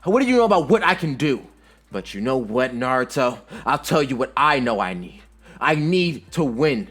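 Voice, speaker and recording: A man's voice: deep voice, a little slow. A man voicing a hot-headed, bitter young ninja rival in an anime-style story, intense and emphatic. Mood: furious.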